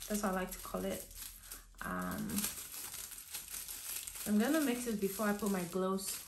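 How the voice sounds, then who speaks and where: A young woman with a slightly hoarse voice from a cold, chatting her way through a hair tutorial at home with lots of ums and restarts. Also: medium pitch, huge pitch swings, unhurried, noisy background. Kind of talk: casual step-by-step tutorial. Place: living room at home, indoors. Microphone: camera in front of her at desk distance. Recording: echoey room with soft music behind.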